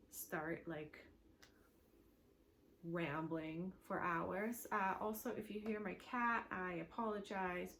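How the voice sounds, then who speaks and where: A woman's voice: mid-range voice, a bit bright, a little hiss or hum. A woman from Switzerland whose first language is German, hosting a knitting podcast from home in a rambling, chatty way full of fillers. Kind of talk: casual chat to camera.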